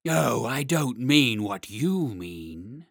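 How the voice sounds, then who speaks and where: A huge armored dragon, as a male narrator puts him on, drawling each word slowly with a damp, spluttering edge. His sly politeness swings through a lively, rising and falling pitch. The sound is close and dry. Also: vocal fry, no room sound, full character voice. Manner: slow and drawn out.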